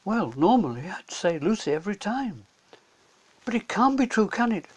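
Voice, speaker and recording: A man's voice: sits high, sing-song, moderate pace, darkish tone, breathy edge, pitched above the performer's own voice, full character voice. A man voicing a polite, sincere and doubtful schoolchild in a children's storybook. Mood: puzzled.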